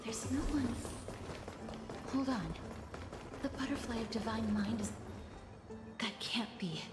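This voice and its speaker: A woman voicing a composed, mysterious young woman who speaks softly and measuredly, often near a whisper. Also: a little high, takes it slowly. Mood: calm. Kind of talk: video game voice acting. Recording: roomy sound with game music.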